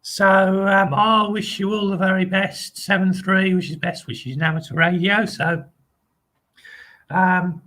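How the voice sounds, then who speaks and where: Chatty vlogger man, hesitant delivery full of ums, takes his time, pitched fairly high. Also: level tone, measured pace, sharp tone. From England. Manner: hesitant, many ums and restarts; rambling, repeats phrases like "really nice". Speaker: channel host. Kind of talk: casual live video chat.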